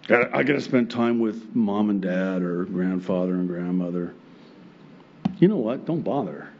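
Middle-aged pastor preaching, often chuckling. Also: male voice, on the low side, normal speed, muffled recording, slight background noise. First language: Arabic. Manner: animated, chuckling often, acts out God's replies. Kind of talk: sermon. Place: church stage with wood pulpit and plants, indoors. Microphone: pulpit microphone.

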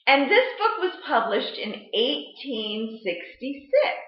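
A middle-aged American woman presenting a storytime lesson for children, explaining in a deliberate, animated way. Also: high-pitched. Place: indoor room at home, seated at a table. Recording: muffled webcam sound in echoey room.

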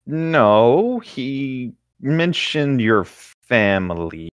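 Male, mid-pitched, hugely expressive, playful casual banter, very dry recording. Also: extremely slow, no echo. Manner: playful, laughs readily. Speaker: co-host. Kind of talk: casual joking chat.

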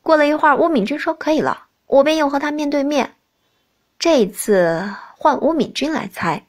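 A woman narrating a novel in the first person as an expressive audiobook reader. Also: sits high, moderate pace.

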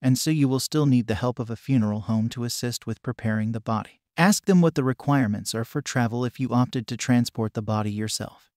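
Man reading steady informational narration. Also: medium pitch, no room sound, clean studio sound. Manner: even, measured reading of written text.

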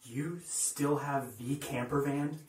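A man playing a polite, tentative podcast maker in an audio drama, speaking haltingly. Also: medium pitch, fairly even intonation, close to an everyday voice. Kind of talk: naturalistic audio drama acting. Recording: roomy, distant from the mic.

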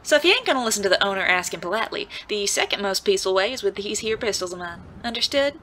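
A woman's voice: mid-range voice, expressive, very fast, bright, forceful tone, slight background noise. A woman playing a coolly menacing, sugary-polite gunslinger from a saloon in a Western audio drama, folksy and teasing.

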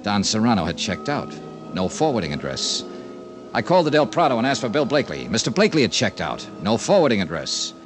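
American hardboiled detective, old radio, clipped n dry but swingy pitch, music underneath. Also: male voice, very animated, a little fast. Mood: brisk, dry. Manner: clipped, dramatic narration. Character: brisk, dry, hard-boiled freelance insurance investigator. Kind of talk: 1950s radio detective drama.

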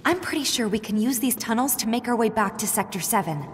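An actress voicing a confident, practical young resistance fighter in a video game, assured and matter-of-fact. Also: female voice, on the high side, animated, fast, lightly acted. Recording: echoey tunnel reverb, faint noise.

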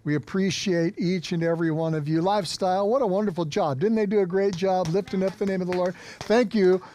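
A man's voice: sits high, brisk, dark tone, slight background noise. An American man in his fifties preaching a sermon as a pastor, exhorting with emphatic repetition. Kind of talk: sermon to an online congregation. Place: small indoor church studio set.

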